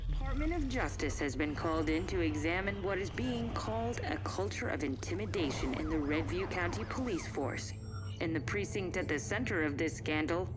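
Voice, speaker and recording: Low-voiced woman acting a news reporter. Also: lightly acted. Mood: serious, neutral. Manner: formal, measured. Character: composed, formal female TV news reporter. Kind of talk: scripted news bulletin in a video game.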